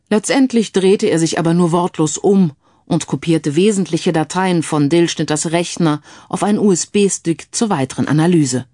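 Low-pitched woman narrating a crime story. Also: dark tone, no echo, clean studio sound. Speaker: audiobook narrator.

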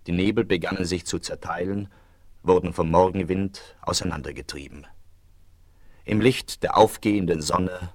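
A man narrating a German radio play adventure as storyteller, with a measured, literary delivery. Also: low-pitched, no echo, clean studio sound.

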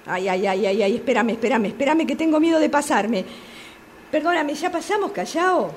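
Actress doing argentine lady on radio drama, highish voice, gossipy n fed up, repeats herself, noisy recording. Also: lively intonation, moderate pace. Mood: anxious. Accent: Rioplatense Argentine. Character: chatty, aggrieved woman street vendor on a subway phone call. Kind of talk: radio theatre monologue acting. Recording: clearly noisy amateur workshop recording.

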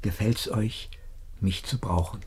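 An actor playing a loyal, humble manservant in a radio play, deferential and subdued. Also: male voice, on the low side, fairly even intonation, takes it slowly, darkish tone, husky, full character voice. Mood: dutiful. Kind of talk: classic German radio play acting.

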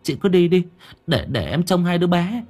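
A worried neighbor woman, hurried and stammering, as a male narrator puts her on. The voice jumps high with a husky edge, over soft music. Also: big pitch swings. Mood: urgent. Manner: urgent, stammering.

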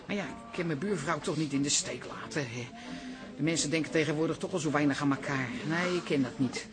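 An actress playing a tired, plain-spoken middle-aged auntie from the neighbourhood, chatty and complaining, in a Dutch radio drama. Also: female voice, low voice, a little fast, music in the background, close to an everyday voice.

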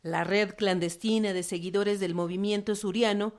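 A woman narrating a historical radio drama from a script in a formal, measured way. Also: mid-range voice, fairly even intonation, darkish tone. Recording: narration over clear string music.

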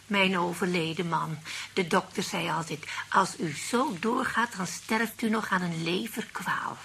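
An actress playing a forgetful elderly widow with a creaky voice and a blunt, dry manner in a radio play. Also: female voice, fairly low pitch, measured pace, pushed and bright, slight background noise, exaggerated voice. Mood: dryly aggrieved. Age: older.

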